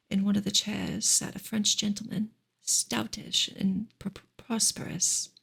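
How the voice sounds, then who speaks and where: A woman reads narrative prose in a hushed ASMR style. Her whisper is extremely breathy and airy, with a strong crackly, fried grain. The delivery is gentle, measured and unhurried. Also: mid-range voice. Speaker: ASMR reader of a classic novel. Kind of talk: whispered ASMR audiobook reading.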